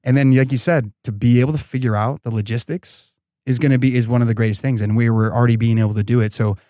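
A man with a medium-pitched voice talks casually as an interview guest. He sounds enthusiastic and slangy, leaning on conversational fillers like "you know." The sound is dry, with very little room to it. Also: steady tone, fast, mellow, no room sound. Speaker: podcast guest, startup founder.

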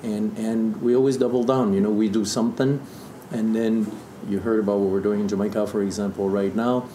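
Male, 50s, French accent, native French speaker, lower register, casual storyteller. Echoey room, some background noise. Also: little pitch movement, a little fast, mellow. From southern Burgundy, France. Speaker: interview guest, distiller.

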